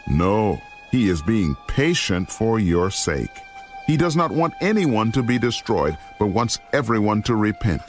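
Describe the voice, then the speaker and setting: Grave apostle warning his flock, lowish voice, oratorical, deliberate, dramatized scripture, faint music underneath.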